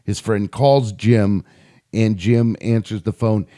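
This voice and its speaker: A man's voice: fairly low pitch, fairly even intonation, slow pace, warm tone. A man giving informal opinion commentary between audio clips, spelling his points out emphatically with repetition. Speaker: video commentator.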